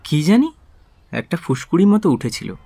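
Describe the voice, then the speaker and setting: A man's voice: on the high side, sing-song, normal speed, warm tone. An actor playing an uneasy husband in his late twenties in a radio drama. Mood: puzzled, uneasy. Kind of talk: Bengali radio drama acting.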